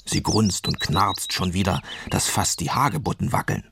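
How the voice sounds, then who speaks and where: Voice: deep voice, moderate pace, creaky, noisy background. A storyteller reading a children's tale expressively as narrator.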